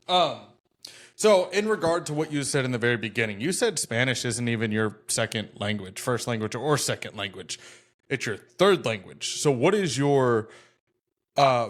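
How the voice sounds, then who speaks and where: A man from the United States hosting a podcast over a video call, talking in an easygoing, enthusiastic way. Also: medium pitch. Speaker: podcast host. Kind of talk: casual interview chat.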